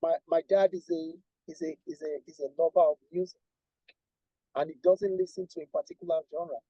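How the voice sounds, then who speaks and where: A man from Nigeria with a husky voice, a guest musician talking at length in a casual interview and restarting his sentences often. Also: fairly high pitch, steady tone, a little fast, warm tone, dry recording, lo-fi sound. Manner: rambling, repeats and restarts, lists with emphasis.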